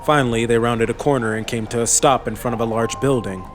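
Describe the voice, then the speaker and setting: A man's voice: medium pitch, fairly even intonation, fast. Male storyteller, middle register, scripted fantasy audiobook narration, light music bed.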